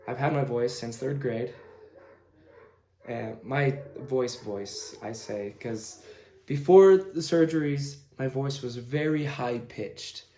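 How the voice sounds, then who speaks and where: A young man in his late teens tells a personal story in a casual, informal style. His delivery is halting, with pauses in the middle of sentences. His medium-pitched voice sits in a roomy, echoing indoor space. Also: warm tone. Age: young adult, late teens. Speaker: vlogger telling own story.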